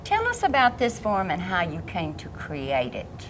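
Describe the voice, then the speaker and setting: A woman interviewer asks a poet about her craft, warm and encouraging as she goes. Her voice is slightly low. Faint background noise sits under the recording. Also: huge pitch swings, a little slow. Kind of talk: interview questions.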